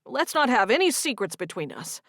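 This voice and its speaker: A woman's voice: very animated, brisk, fairly bright tone, dry recording, studio quality, full character voice. A woman playing a bold, defensive young woman. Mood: frank. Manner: pleading, high and emphatic.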